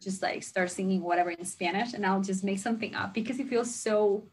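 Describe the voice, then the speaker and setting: A woman's voice: mid-range voice, a little fast. A chatty young woman you might hear hosting a parenting podcast, casually thinking things through with a colleague. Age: young adult. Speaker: host and researcher. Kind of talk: casual reflective conversation.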